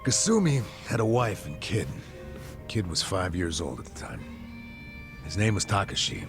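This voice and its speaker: Casting: middle-aged American ex-policeman for a game, lowish gravelly voice, blunt, regretful, streetwise. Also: very animated, normal speed, music in the background. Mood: grave, confiding. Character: disgraced middle-aged ex-cop, gruff, regretful, good-hearted. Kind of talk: video game cutscene dialogue.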